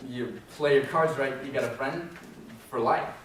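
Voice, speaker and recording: A man's voice: medium pitch, measured pace, fairly bright tone, lightly acted. A man playing a plainspoken, devoted circus elephant trainer in a readers theater performance. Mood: fond. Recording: very echoey hall, distant, faint noise.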